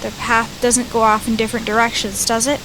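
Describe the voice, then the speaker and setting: A woman's voice: fairly high pitch, little pitch movement, fairly quick, noisy background, natural voice. A woman playing a plucky, politely guarded girl hiker in an audio drama.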